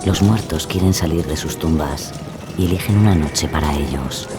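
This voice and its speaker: Very deep-voiced male narrator. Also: normal speed, loud music behind the voice. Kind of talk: scripted atmospheric narration.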